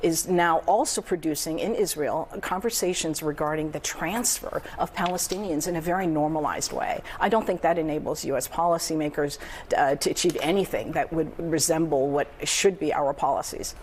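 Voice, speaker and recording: A woman's voice: low voice, a little mellow, slight background noise. Earnest woman guest on TV news. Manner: measured, earnest, some hesitations. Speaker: advocacy group director, guest. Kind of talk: live TV news interview.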